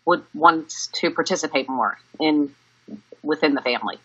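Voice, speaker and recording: Husky American woman in her fifties, low-pitched. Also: moderate pace, a bit bright. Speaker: interviewee, family member. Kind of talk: candid interview answers. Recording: dull, lo-fi sound.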